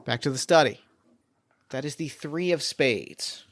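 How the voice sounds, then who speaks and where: A man's voice: a little high, a little slow. A man guides a player through a tabletop mystery game as its game master. He reasons aloud and weighs each choice in turn. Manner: thinks aloud, weighing options.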